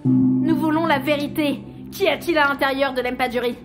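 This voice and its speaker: A woman plays a resolute young sister facing death calmly in an audio drama. The voice is on the high side and earnest. It echoes, with clear music behind. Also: moderate pace, close to an everyday voice. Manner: composed, earnest. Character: resolute, calm young condemned sister, soothing and fatalistic. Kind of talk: French audio drama acting. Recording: roomy echo under loud music.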